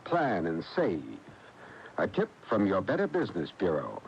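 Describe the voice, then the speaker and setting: A man with an American accent delivers folksy, scripted consumer advice with very lively intonation in a fairly low voice. It plays from a muffled old AM radio tape. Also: moderate pace, gravelly, slight background noise. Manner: folksy, instructive. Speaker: public service announcer.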